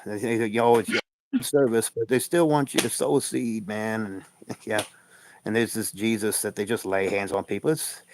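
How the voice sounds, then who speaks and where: A man's voice: lowish voice, fairly even intonation, normal speed, background noise. A man co-hosting a casual livestream chat, talking bluntly and emphatically and laughing between his points.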